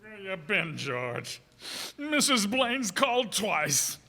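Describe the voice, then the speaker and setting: An actor playing a grief-stricken, drunken older druggist with a harsh manner in a radio drama. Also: male voice, sits high, sing-song, slow, bright, forceful tone, heavily acted. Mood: drunken rage. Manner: harsh, scolding, breaking down. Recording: echoey hall, long reverb.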